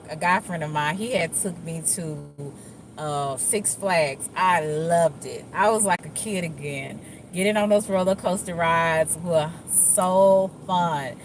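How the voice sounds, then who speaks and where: Woman, Dallas accent, slow unhurried drawl, lowish voice, rambling vlog chat. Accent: Dallas, Texas. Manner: rambling, laid-back, with frequent fillers and restarts. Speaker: vlogger. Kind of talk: casual chat while driving. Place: car. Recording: faint vehicle noise.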